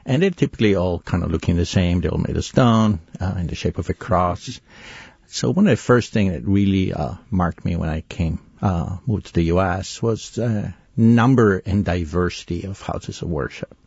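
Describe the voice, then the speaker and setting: A man's voice: low-pitched, normal speed, creaky, a little mellow, slightly rough, no echo, highs cut off. A man from Italy with an Italian accent, speaking thoughtfully as a guest in a conversational interview.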